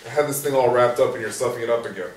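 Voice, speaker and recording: A man plays a weary, cynical police sergeant in a stage drama. He is blunt and jaded, with a medium-pitched voice. The sound is echoey and distant, with faint noise. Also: racing, natural voice. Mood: exasperated. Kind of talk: stage play reading.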